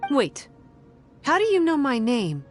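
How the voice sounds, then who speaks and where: A woman playing a solemn young shrine maiden in a video game, guarded and scholarly, explaining in measured, formal phrases. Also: high-pitched, huge pitch swings, loud music behind the voice, full character voice. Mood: suspicious. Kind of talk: video game character acting.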